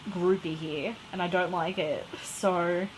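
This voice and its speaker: A woman co-hosting a podcast in casual banter, playful and slangy, joking in a deadpan way. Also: lowish voice, slow, background noise. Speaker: podcast co-host.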